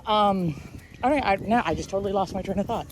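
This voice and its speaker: A woman chatting casually and reflectively, thoughtful and a little halting as she goes. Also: medium pitch, poor audio. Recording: clear outdoor ambient noise.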